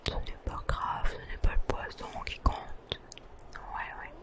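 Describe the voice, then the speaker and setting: A host whispering soft, gentle, chatty commentary over a video game in ASMR style. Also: slow. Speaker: host of a relaxation gaming channel.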